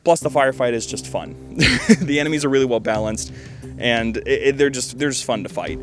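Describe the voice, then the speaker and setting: A man's voice: mid-range voice, fairly quick. Young man reviewing games over music. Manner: conversational, loosely rambling, with wry deadpan asides. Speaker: gaming video host. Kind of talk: casual game review commentary. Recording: loud music behind the voice.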